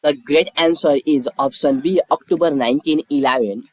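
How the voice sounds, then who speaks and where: Higher-voiced man narrating a quiz, dry sound. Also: moderate pace, a little mellow, dry recording, low-quality recording.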